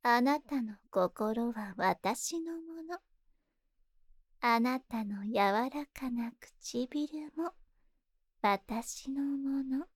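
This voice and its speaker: A woman's voice: a little high, lively intonation, extremely slow, husky, no room sound, heavily acted. A woman playing a seductive, possessive spider monster in a Japanese ASMR voice drama, tender and coaxing, lingering on her words. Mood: possessive, hungry. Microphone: close ASMR microphone in a dry studio.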